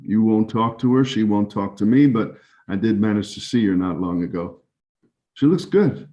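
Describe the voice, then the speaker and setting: Guy acting a hesitant evasive forties man in a 1930s stage drama, lowish voice, dull lo-fi audio. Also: lively intonation, mellow, close to an everyday voice. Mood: conciliatory. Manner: halting, evasive, conciliatory. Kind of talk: dramatic two-person scene reading.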